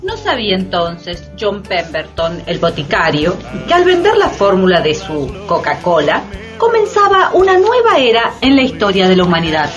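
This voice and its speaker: A woman's voice: mid-range voice, huge pitch swings, normal speed, lo-fi sound, over music. A woman narrating a scripted radio play in a dramatic storytelling style.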